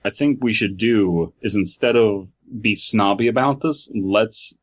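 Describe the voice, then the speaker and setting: A man with a fairly low voice hosts a casual podcast. He explains things in a halting, informal way, jokes, and reads stories aloud. The recording is a bit dull and muffled. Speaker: podcast host.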